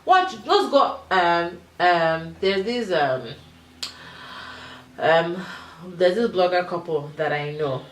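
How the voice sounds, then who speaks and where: A woman co-hosting a casual panel chat, animated and quick with asides. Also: lowish voice. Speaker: co-host. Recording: roomy live stream sound.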